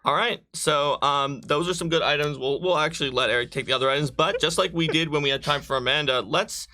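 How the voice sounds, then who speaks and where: A man's voice: fairly high pitch, fast, pushed and bright, no echo. A man talking casually and instructively as he hosts a live tabletop game stream. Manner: explanatory, instructive. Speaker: game show host guiding character creation.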